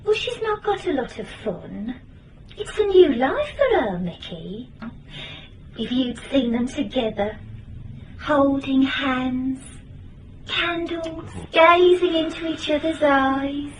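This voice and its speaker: A British actress playing a sympathetic, romantic-minded office colleague in a radio drama, speaking in a coaxing, drawn-out way. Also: female voice, sits high, expressive, recorded from a distance, a little hiss or hum, rough recording. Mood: coaxing, dreamy. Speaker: actor.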